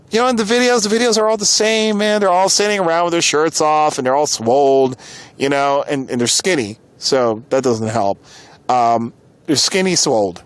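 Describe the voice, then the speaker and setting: A man's voice: a little high, fairly bright tone. An American man hosting a podcast, giving casual opinion commentary in an exasperated, informal and emphatic way. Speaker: podcast host. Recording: clearly noisy background.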